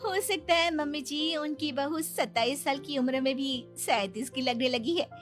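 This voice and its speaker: A young daughter-in-law from a cartoon story, sweet and playfully boastful, played by a woman. Her voice is high and bright. Faint music plays behind her. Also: moderate pace. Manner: sweet, teasing.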